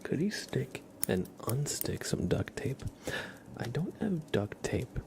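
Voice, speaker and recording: A soft-spoken man hosting an ASMR livestream, gently chatting with viewers and often slipping into a whisper, close on the mic. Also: mid-range voice, big pitch swings, slow pace, vocal fry. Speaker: livestream host. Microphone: close ASMR microphone.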